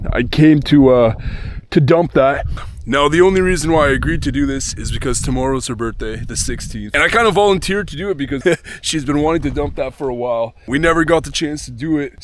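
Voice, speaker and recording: A young man talks straight into a handheld camera outdoors, swearing casually and sounding thoroughly disgusted. His voice sits a little high and is fraying into hoarseness. A faint hiss of noise runs underneath. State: voice going hoarse. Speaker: vlogger. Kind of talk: casual vlog commentary. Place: outdoors at a remote dumping spot.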